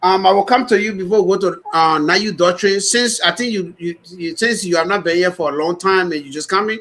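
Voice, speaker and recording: Host: man, loud and rambling, high-pitched. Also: brisk. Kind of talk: radio show hosting.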